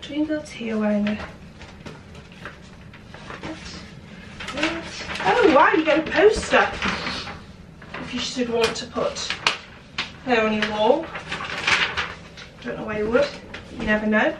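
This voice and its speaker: A woman's voice: on the high side, expressive, slow, pauses often. A British woman in her twenties, bunged up with a cold, chatting casually and upbeat as she unpacks shopping and a parcel at home. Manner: chatty, upbeat, thinking aloud. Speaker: fashion vlogger. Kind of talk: casual vlog chat. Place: home kitchen, indoors. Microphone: camera mic from a distance. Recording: echoey kitchen, far from mic, loud noise.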